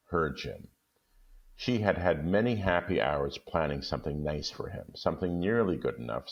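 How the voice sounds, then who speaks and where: A man reading a classic short story aloud in a steady, measured way. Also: a bass voice, creaky, muffled recording. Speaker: guest reader.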